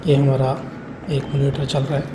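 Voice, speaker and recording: A man's voice: medium pitch, monotone, normal speed. A man giving a casual hands-on how-to demonstration, explaining step by step with frequent check-in phrases. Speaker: tutorial host showing an intercom installation. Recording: clearly noisy room recording.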